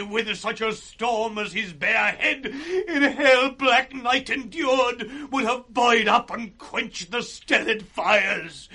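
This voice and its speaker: An old British nobleman, betrayed and anguished. His voice rides very high and rather bright, drawing out declamatory phrases slowly in the grand style of Shakespearean radio drama. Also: exaggerated voice. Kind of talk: 1960s Shakespearean radio acting.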